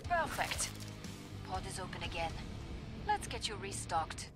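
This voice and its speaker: A woman voicing a brisk, dry female trader in a shooter game, giving curt commands. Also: lowish voice, very animated. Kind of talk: in-game voice lines. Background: loud game music.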